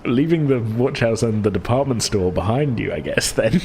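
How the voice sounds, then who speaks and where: A man's voice: medium pitch, animated, moderate pace, a little mellow, a little hiss or hum. A man running a tabletop game as game master, narrating casually in a rambling, self-correcting way.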